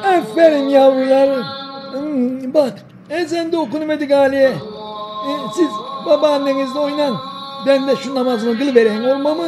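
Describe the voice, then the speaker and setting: A man's voice: extremely high pitch, fairly even intonation, normal speed, rough recording. A man voicing a kindly old village grandfather in an educational cartoon, folksy and doting, with a Denizli accent from southwestern Turkey. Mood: fond, chatty.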